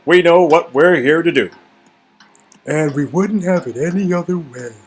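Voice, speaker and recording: A man's voice: on the high side, normal speed, pitched above the performer's own voice, natural voice. A man voicing an earnest, emphatic robot clone, eager to fight, in a game read-through. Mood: earnest, resolute.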